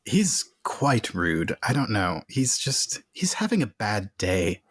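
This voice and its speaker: A man plays a tabletop game, improvising casually in character. His fairly low voice moves up and down in a lively way, and the recording is dry. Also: takes it slowly, slightly rough, dry recording.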